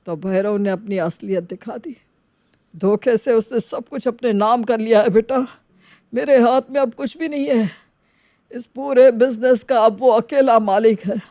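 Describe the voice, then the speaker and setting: A woman's voice: fairly high pitch, dark tone, dry recording, studio quality. A woman voicing an elderly father in a moral story, hurt and remorseful, pleading with emotion.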